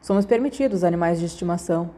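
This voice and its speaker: Woman as a guarded, mellow-voiced teenage schoolboy. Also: fairly low pitch, fast talker, natural voice. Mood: cool, deadpan.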